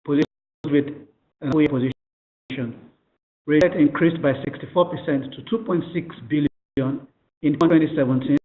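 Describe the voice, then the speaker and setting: Male executive, slightly high voice, formal and halting scripted presentation over a thin phone conference line. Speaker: company executive presenting financial results. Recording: tinny, echoey telephone conference line.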